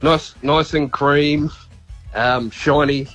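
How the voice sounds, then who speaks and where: A man's voice: fairly high pitch, steady tone, slow pace, fairly bright tone, no echo, faint music behind. An Australian-accented man calling in to a radio quiz, chatting casually. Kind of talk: casual chat.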